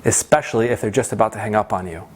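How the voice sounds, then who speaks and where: A man's voice: lowish voice, very fast, husky. Man teaching like a sales trainer. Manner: conversational, emphatic, checks in with "right?" and "okay?". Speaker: sales trainer presenting a course. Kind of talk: instructional presentation with role-played example lines.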